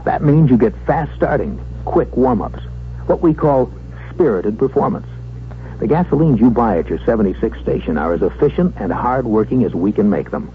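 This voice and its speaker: A man delivering a scripted 1970s radio commercial in a polished sales style. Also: mid-range voice. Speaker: commercial announcer. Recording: tinny 1970s radio sound, faint hum.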